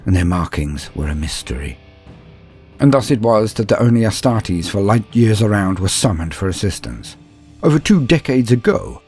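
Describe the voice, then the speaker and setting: A man with a deep voice reads a scripted dramatic story. His delivery is grave, measured and theatrical. Soft music plays faintly behind him. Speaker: narrator.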